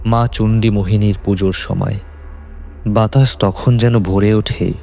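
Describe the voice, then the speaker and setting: Low-pitched Bengali male horror narrator. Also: steady tone, unhurried, vocal fry, warm tone, soft background music. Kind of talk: scripted horror story narration.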